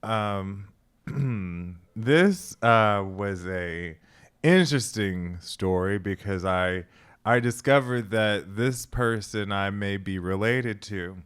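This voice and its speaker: A man's voice: on the low side, slow pace, fairly bright tone, smooth. A man co-hosting a podcast, chatting casually and hesitantly with many ums before telling a story. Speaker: podcast co-host.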